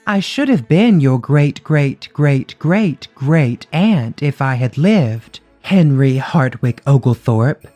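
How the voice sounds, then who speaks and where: A male narrator voicing a haughty drowned maiden ghost with a gurgling voice, speaking slowly and loftily. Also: a little high, a little mellow, pitched above the performer's own voice. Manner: slow, lofty and reproachful. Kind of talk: audiobook character voice.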